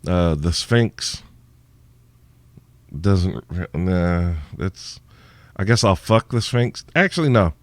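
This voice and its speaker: Low-voiced, sleepy, profane male podcaster. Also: takes it slowly, long pauses, no echo. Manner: profane, irreverent, rambling. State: sleepy, tired. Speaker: podcast host. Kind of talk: crude solo Q&A podcast.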